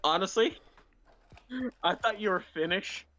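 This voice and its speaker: A man's voice: high voice, a little slow, pushed and bright, dull audio. A man with a Southern US accent, co-hosting a casual chat show and rambling with many restarts and "like"s over a voice call. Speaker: co-host.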